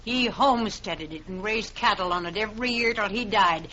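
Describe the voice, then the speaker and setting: A woman playing a stubborn, middle-aged widowed homesteader in an old-time radio western, speaking in a forceful, repetitive, folksy way. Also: mid-range voice, normal speed, lightly acted. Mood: defiant. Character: defiant stubborn widowed homesteader woman guarding her land. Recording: dull lo-fi recording with background noise.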